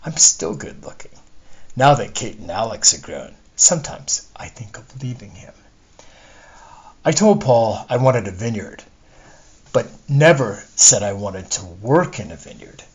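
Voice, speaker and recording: A man's voice: on the low side, sing-song, unhurried, husky, heavily acted. A man reading a literary monologue as a wistful, discontented middle-aged wife, confiding and opinionated, in his own everyday voice. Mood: proud, restless, then exasperated. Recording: dull lo-fi recording.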